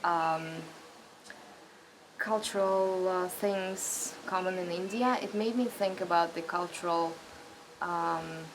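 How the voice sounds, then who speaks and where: A woman's voice: on the low side, fairly even intonation, takes it slowly, background noise. A woman from Russia, a narrative therapist recalling her path, speaking English hesitantly and searching for words. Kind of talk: personal reflection.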